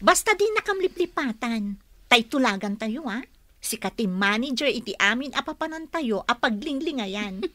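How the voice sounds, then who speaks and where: A woman's voice: on the high side, animated, normal speed, sharp tone, dry recording. A woman playing a conniving female friend in a radio drama, with an Ilocano accent. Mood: conniving, playful.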